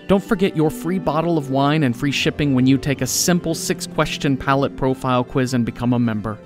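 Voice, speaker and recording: A man announces podcast credits from a script in a medium-pitched voice. His delivery is deadpan, with guitar music clearly playing behind him. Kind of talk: scripted sponsor read and credits.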